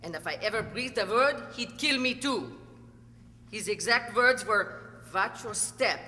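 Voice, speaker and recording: A woman playing a cold, accusing witness in an amateur radio courtroom play, dramatic and deliberate, in a reverberant hall. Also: medium pitch, expressive, pushed and bright. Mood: accusing, grave. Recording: reverberant hall, faint noise and hum.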